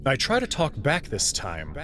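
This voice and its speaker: A man reading scripted narration and commentary as narrator and host, articulate and expressive. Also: mid-range voice, moderate pace, noisy background.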